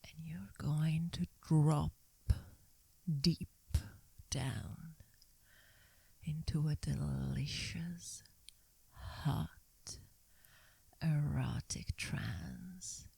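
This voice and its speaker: A woman playing a seductive, dominant vampire mistress in an ASMR hypnosis roleplay, whispering slowly and breathily with a teasing, coaxing, commanding manner. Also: deep voice, vocal fry, warm tone, breathy edge, no room sound, exaggerated voice. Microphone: close microphone in a dry space.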